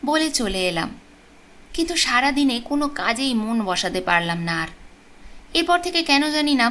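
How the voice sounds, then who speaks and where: A woman's voice: fairly high pitch, a bit bright. Woman narrating, noisy background. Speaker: audio story narrator. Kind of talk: scripted literary story narration.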